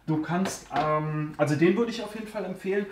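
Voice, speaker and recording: A man's voice: high-pitched, brisk, a little mellow, echoey room, faint music behind. A man hosting a car care channel, casually answering viewer questions with frequent fillers and joking asides, recorded in a basement. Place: basement storage room.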